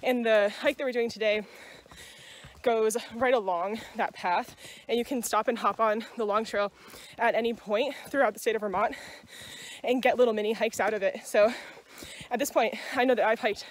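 Upbeat young woman vlogging, high voice. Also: steady tone, moderate pace. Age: young adult. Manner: upbeat, enthusiastic. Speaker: student vlogger. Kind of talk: casual vlog talk to camera. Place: small kitchen, gas station, car and outdoor trail. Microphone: phone at arm's length. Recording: faint background noise.

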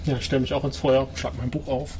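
A man's voice: mid-range voice, flat delivery, a little fast, noisy background. A man playing a tabletop role-playing game, describing and speaking for his character. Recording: music playing behind the voice.

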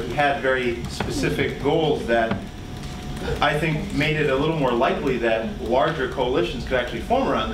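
A man speaking up from the audience in a classroom discussion, thinking aloud and correcting himself as he goes. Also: mid-range voice. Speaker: workshop participant. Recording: distant roomy audience pickup with noise.

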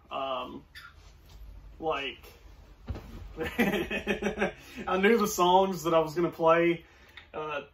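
A young man in his twenties talks casually on a couch, his slightly high voice wandering through fillers and restarts. The camera is across the room, so he sounds distant. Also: fairly bright tone. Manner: rambling, many fillers and restarts. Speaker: co-host. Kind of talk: casual chat. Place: small room, seated on a couch. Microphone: far camera.

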